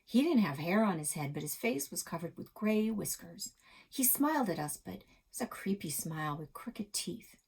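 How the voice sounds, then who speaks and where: A woman reading a children's story aloud with dramatic, expressive delivery, as a library reader. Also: on the low side, moderate pace, vocal fry, husky.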